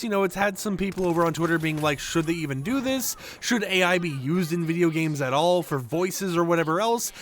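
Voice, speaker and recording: A man's voice: high-pitched, fairly bright tone. A man giving casual gaming commentary in a conversational, rambling way, often checking in with little tag questions. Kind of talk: casual video commentary over gameplay.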